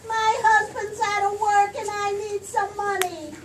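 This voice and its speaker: A noisy stage recording from a distance of a woman acting a needy small-town housewife. Her voice is extremely high and worried, in old radio drama style. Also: flat delivery, unhurried. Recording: distant stage mic, clear noise.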